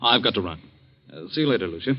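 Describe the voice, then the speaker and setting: Muffled vintage radio recording, breezy evasive husband, lowish voice, 1940s drama. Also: male voice, animated, fast. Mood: genial. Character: smooth, evasive, genial horse-loving husband.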